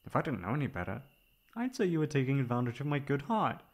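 A man's voice: medium pitch, sing-song, quick pace, noisy background, pitched above the performer's own voice. A man playing a sweet, teasing boyfriend, tender and playfully exasperated, in an intimate ASMR roleplay. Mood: fond teasing. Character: sweet teasing boyfriend caring for tipsy girlfriend.

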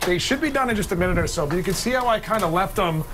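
A man's voice: high voice, lively intonation, racing, a bit bright, slight background noise. A man in his forties, a guest chef, chatting upbeat as he cooks on a morning TV kitchen set, lapel mic. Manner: upbeat, chatty, explains step by step. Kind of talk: cooking demo on morning TV.

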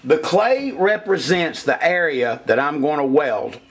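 A man's voice: fairly high pitch, animated, measured pace, slightly rough. A man talking casually as he demonstrates engine head porting at a workshop bench, in a plainspoken, folksy way. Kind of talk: hands-on shop demonstration.

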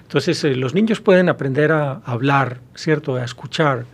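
A man in his fifties with a Colombian Spanish accent, a university professor answering interview questions, pausing often with hesitations and restarts, recorded on a studio mic. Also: mid-range voice. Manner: thoughtful, many hesitations and restarts. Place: small studio with blue backdrop. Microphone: studio mic on boom arm.

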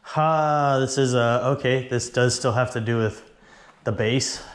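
A man's voice: medium pitch, a little slow, dry recording. A young man hosting an exploration vlog, chatting casually to viewers with lots of fillers while walking through an abandoned base. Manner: easygoing, filler-heavy, addresses viewers directly. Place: abandoned military base, outdoors and inside empty buildings.

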